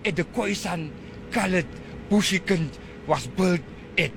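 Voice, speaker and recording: A man making earnest, halting public remarks. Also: vocal fry. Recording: distant with rumbling noise.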